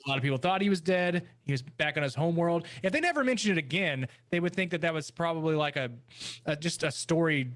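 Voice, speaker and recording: A man's voice: a little high, expressive, fast talker, a bit bright. A man giving his thoughts casually as a panelist on a podcast. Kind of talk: casual discussion.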